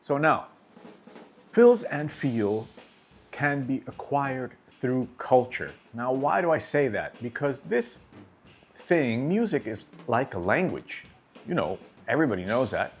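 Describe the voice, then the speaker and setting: A man teaching drumming in a relaxed, conversational way, asking rhetorical questions and checking in with his listeners. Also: mid-range voice, takes it slowly, faint music behind. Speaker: drum teacher. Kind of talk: instructional talk to camera.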